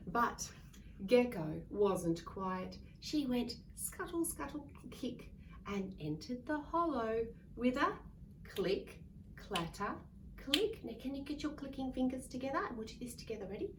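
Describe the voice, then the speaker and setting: A woman's voice: a little high, lively intonation, measured pace. A woman reading a picture book aloud to young children in a sing-song way, coaxing them to join in. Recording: distant mic with faint background noise.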